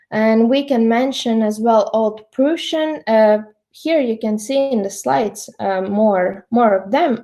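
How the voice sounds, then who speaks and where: A young woman with a Latvian accent gives an online presentation, pausing often with fillers. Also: fairly high pitch, warm tone. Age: young adult. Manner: hesitant, many fillers. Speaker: student lecturer.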